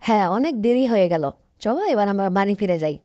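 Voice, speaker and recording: A woman's voice: medium pitch, lively intonation, quick pace, mellow, dull audio, close to an everyday voice. A woman voicing a contented, chatty young fox wife in a cartoon story. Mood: assertive.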